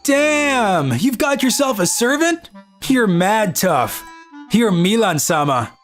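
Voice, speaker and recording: A woman voicing a brash, goading high school classmate in a manga dub. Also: expressive, slow pace, faint music behind. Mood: teasing. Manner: loud, goading, exclaiming.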